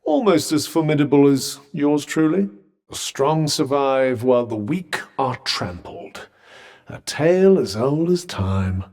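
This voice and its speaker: Sentient magic bracelet, wry sarcastic quips, swooping expressive delivery, clean game audio. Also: male voice, on the high side, unhurried. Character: sardonic sentient magic bracelet, male, witty. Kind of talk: game character voice lines.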